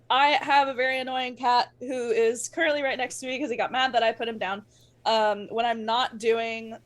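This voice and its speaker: A woman guest on a livestream, chatting casually in a gushing, playful, informal way. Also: a little high. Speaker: guest performer and streamer.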